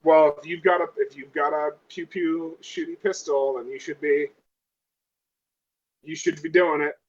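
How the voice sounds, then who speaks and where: Man, fairly high voice, hesitant casual gamer chat, muffled lo-fi recording. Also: fairly even intonation, a little slow. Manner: hesitant, thinking aloud. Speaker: player in a tabletop roleplaying game. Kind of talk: casual game table chat.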